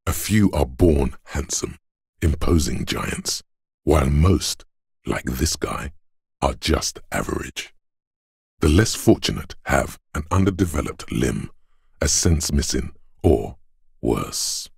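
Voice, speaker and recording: Inner voice of reason for a detective game: extremely low, raspy, measured, condescending. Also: male voice, expressive, darkish tone, no room sound, clean studio sound, exaggerated voice. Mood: detached disdain. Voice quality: very deep, gravelly, husky. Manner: measured, deliberate, analytical. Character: cold, analytical inner voice of a detective's logic, condescending. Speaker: video game voice actor. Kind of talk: scripted game narration.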